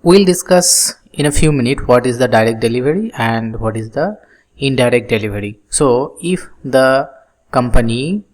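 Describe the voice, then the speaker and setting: An instructor explaining a business process step by step in a tutorial style, with halting phrasing and simple examples. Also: medium pitch, lively intonation, darkish tone.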